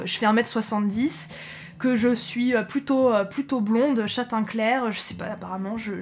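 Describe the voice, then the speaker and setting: A young woman from France chatting casually to camera as a travel vlogger, with frequent hesitations and self-corrections. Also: a little high.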